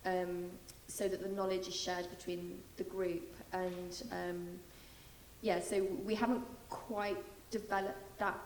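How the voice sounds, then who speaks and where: A British woman on a panel, speaking low and flat with a gravelly edge. She answers in a measured way, filling her pauses with ums. The room is echoey with a faint ambience behind her. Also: a little hiss or hum. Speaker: programme panelist. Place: large hall.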